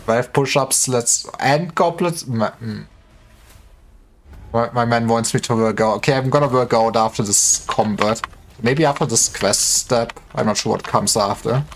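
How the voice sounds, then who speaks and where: A man's voice: medium pitch, unhurried, a little hiss or hum. A man livestreaming games, chatting casually in a joking, rambling way with some stammering. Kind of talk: casual gaming livestream chat.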